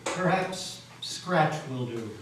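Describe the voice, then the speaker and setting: A man playing a sinister devil posing as a polite gentleman stranger, careless and sly, in a dramatic reading of a folk tale. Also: on the high side, expressive, slow, pitched above the performer's own voice. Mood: suave, careless. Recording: echoey hall, distant mic, noisy.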